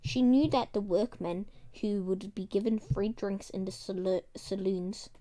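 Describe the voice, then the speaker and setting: Woman, relaxed dark tone, medium pitch, halting book reading, amateur reader. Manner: halting, stumbles over words. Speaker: guest reader.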